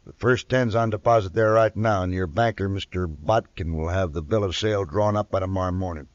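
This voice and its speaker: An actor playing a brusque, domineering stranger with curt rustic speech in a 1950s radio western. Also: male voice, sits low, fairly quick, no room sound, close to an everyday voice. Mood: confident, pushy. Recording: dull, dry old radio recording.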